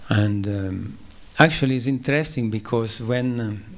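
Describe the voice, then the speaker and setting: A man from southern Switzerland whose first language is Italian, giving a spiritual lecture at a temple in English with an Italian accent. He speaks haltingly, pausing, using fillers and clearing his throat. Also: medium pitch. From Ticino, southern Switzerland. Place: temple hall.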